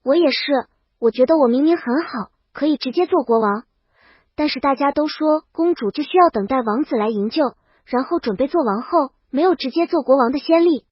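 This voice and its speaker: A woman's voice: high-pitched, brisk, dry recording, muffled recording, close to an everyday voice. A woman voicing a brash, boastful young princess in an audiobook, bold and casual. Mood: frank, puzzled. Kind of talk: audiobook character dialogue.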